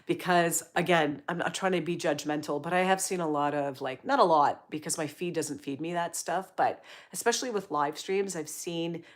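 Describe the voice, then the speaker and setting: A woman hosting a casual livestream, chatting upbeat and encouraging while she reads viewer comments aloud. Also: sits low. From Canada. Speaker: livestream host.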